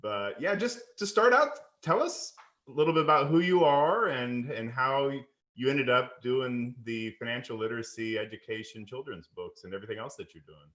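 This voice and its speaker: A man's voice: mid-range voice, lively intonation, normal speed, a bit bright. A man hosting a finance podcast, friendly and upbeat as he introduces his guest. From United States. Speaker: podcast host, wealth manager. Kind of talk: casual interview.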